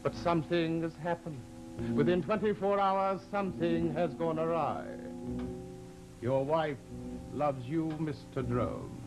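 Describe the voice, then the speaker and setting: An actor playing the Devil as a proud, courtly gentleman, mannered and over-polite, with deliberate pauses, in old-time radio horror style. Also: on the high side, natural voice, low-quality recording. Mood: wounded pride. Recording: vintage radio drama, music behind.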